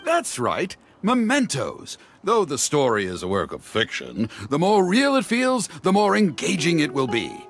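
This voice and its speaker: A male actor voicing an excitable, flamboyant storyteller in a video game, given to grandiose, dramatic flourishes. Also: fairly high pitch, unhurried, few pauses, heavily acted. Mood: eager, coaxing. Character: excitable theatrical male storyteller gathering heroic material. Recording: music under the voice.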